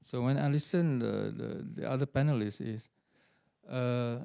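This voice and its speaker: A man, an academic speaking on a panel, hesitant and halting, with many fillers and repeated words. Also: medium pitch, gravelly, dark tone, clean tone. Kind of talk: formal panel remarks.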